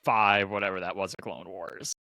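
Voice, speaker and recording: A man's voice: deep voice, fairly even intonation, normal speed, vocal fry, sharp tone, no echo, studio quality. A man co-hosting a casual fan podcast, thinking aloud in an agreeable, colloquial way. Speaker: podcast host.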